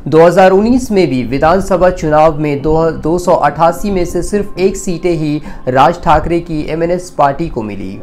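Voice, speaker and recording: A man's voice: on the high side, moderate pace, few pauses, darkish tone, slight background noise. A man presents a scripted news explainer, addressing viewers directly in a formal way. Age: 20s.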